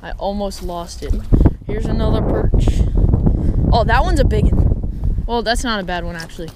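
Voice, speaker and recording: A man's voice: high-pitched, normal speed. Young male fishing vlogger, noisy outdoor audio. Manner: slangy, exclamatory. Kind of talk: casual excited chat while fishing. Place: outdoors on a rocky reservoir shore. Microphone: body-worn action camera.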